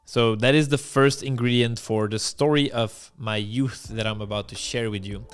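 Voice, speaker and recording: A man's voice: mid-range voice, steady tone, moderate pace, clean tone. A young adult man from Belgium, a dating coach, tells a candid, blunt and self-deprecating personal story straight to camera.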